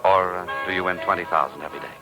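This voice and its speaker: A man's voice: low-pitched, fairly even intonation, fast talker, lightly acted. A man playing a cool, wry amateur sleuth in an old-time radio drama, slipping between dialogue and first-person narration. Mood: casual, sly. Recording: old radio recording with music behind.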